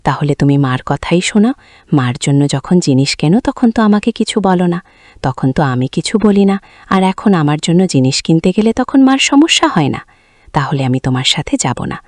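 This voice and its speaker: A woman's voice: sits low, big pitch swings, normal speed, a little mellow, no echo, studio quality. A woman voicing a sulky, demanding young daughter in a story, pouting and reproachful. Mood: indignant, pleading.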